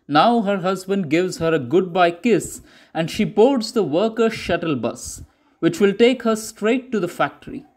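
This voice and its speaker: A man with a high-pitched voice narrates a scripted newsreel documentary. He speaks in measured, declarative statements, like official propaganda.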